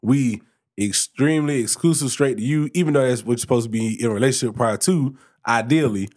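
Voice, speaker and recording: A man co-hosting a casual podcast, talking at length in an ordinary mid-pitched voice. He builds his argument with lots of restarts and repeated words. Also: fairly quick, a little mellow. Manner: talkative, explains at length with many restarts. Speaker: podcast co-host.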